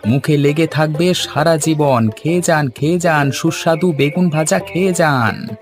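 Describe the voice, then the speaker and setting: A man voicing an earnest, energetic young village son and street hawker in a cartoon. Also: on the high side, a little slow, lightly acted. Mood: hawking cheerfully. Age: young adult. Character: eager, devoted young village son selling fried eggplant. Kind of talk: Bengali cartoon voice acting. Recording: music under the voice.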